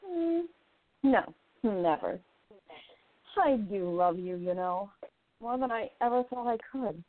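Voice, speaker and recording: A woman's voice: mid-range voice, animated, slow. A woman playing a spirited, independent young journalist in an amateur radio play, speaking earnestly and with feeling. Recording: tinny phone-like sound.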